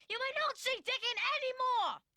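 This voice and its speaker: An actor playing a sickly, spoiled young boy in an animated drama, with an imperious, whiny, dramatic manner. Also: extremely high pitch, moderate pace, gravelly, no room sound, clean studio sound, heavily acted. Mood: hysterical, demanding.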